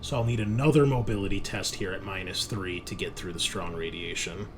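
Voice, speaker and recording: A man's voice: low-pitched, fairly even intonation, a little fast, noisy background. A man running a tabletop roleplaying game as game master, talking in a casual, conversational way.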